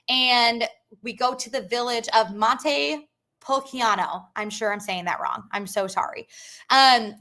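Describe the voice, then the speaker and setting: Bright, chatty woman hosting a tour stream. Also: a little high. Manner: chatty and upbeat, frequent ums, reads viewer comments aloud. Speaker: travel company host. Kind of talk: casual live-stream tour presentation.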